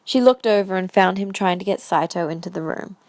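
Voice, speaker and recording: A woman's voice: medium pitch, a little fast, a little mellow, no echo. A woman reading scripted story narration for an audio drama.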